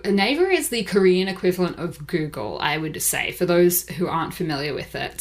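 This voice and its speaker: A woman hosting a beauty podcast, talking in a chatty, upbeat way with a few fillers. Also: fairly low pitch. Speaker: podcast host. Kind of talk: casual podcast talk. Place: Seoul.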